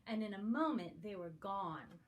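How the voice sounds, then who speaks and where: A woman's voice: medium pitch, expressive, measured pace. A woman reading a picture book aloud to young children as a guest reader. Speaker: guest story reader for preschoolers.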